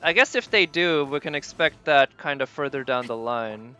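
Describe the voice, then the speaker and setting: Musing game streamer over loud game music. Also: medium pitch, expressive, normal speed, smooth. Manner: musing, hesitant, thinking aloud. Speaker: live streamer. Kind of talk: casual gaming stream commentary, chatting with viewers. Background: video game music.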